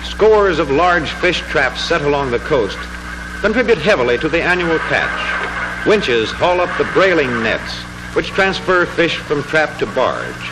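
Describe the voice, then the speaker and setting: A man narrating a 1940s educational film in a formal, measured, declamatory manner, reading from a script. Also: on the high side, sing-song, rough recording. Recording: 1940s film soundtrack with music. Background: music.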